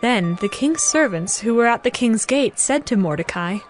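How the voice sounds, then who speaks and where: Measured woman narrator over soft music. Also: on the high side, lively intonation. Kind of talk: scripted dramatized scripture narration.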